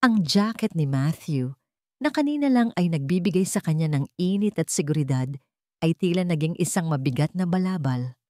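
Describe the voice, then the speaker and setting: Filipino woman narrator w low voice, dramatic love story reading, very dry close sound. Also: lively intonation, moderate pace, a little mellow, dry recording.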